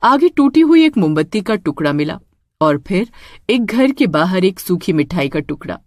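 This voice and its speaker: A woman's voice: fairly low pitch, very animated, moderate pace, darkish tone, dry recording. A woman storyteller reading a scripted tale in a dramatic narrating style.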